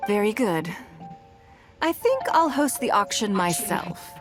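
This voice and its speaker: An actress playing a poised, wealthy and shrewd businesswoman in a video game, speaking in a composed, formal, measured way. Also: mid-range voice, big pitch swings, over music. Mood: assured, businesslike. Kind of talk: voiced video game dialogue.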